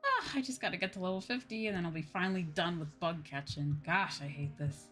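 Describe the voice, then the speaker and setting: A woman's voice: on the low side, expressive, brisk, bright, forceful tone, faint music behind. A woman livestreaming a game with casual commentary, chatting to viewers and rambling as she thinks aloud. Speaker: livestreamer playing a farming game.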